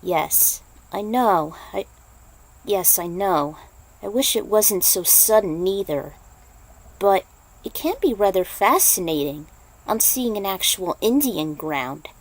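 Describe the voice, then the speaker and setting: Woman doing a kind young warrior monk dude, calming, real slow talker, fanfic. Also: medium pitch, low-quality recording. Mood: wistful, calm. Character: gentle, reassuring young warrior monk man.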